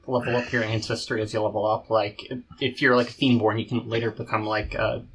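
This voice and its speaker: A man's voice: on the low side, little pitch movement, fairly quick, slight background noise, rough recording. A man playing in a tabletop game, talking in an easygoing, slightly hesitant way. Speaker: player. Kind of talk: casual tabletop chat.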